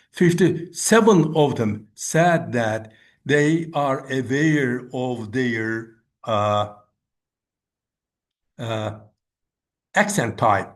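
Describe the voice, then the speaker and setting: A man with a Turkish accent and Turkish as his first language, giving an academic conference presentation in a measured way, often restating points. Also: mid-range voice. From Turkey. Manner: measured, restates and rephrases with "I mean".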